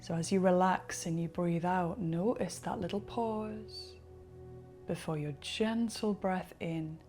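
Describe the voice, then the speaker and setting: A woman leading a guided relaxation, speaking slowly and softly, sometimes dropping into a whisper. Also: on the low side, fairly even intonation, faint music behind. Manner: slow, soft-spoken, partly whispered. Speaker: meditation guide.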